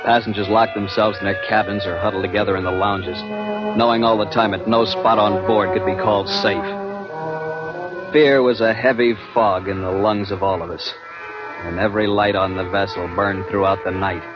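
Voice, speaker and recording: An actor playing a grim, urgent adventurer who narrates in tense, deliberate, ominous 1940s radio drama style. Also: male voice, lowish voice, fairly even intonation, close to an everyday voice. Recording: muffled old radio broadcast, musical backing. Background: dramatic music.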